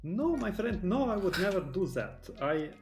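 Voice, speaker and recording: Guy doing a high-pitched bad russian accent, slow and mock-serious. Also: big pitch swings, soft background music. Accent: put-on Russian. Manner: slow, earnest mock-formal delivery. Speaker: co-commentator. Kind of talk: joking banter.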